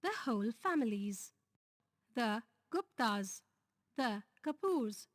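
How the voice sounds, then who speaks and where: A woman with an Indian English accent reading a grammar lesson, going slowly through lists and example sentences. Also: fairly high pitch, animated, no echo, studio quality. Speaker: teacher.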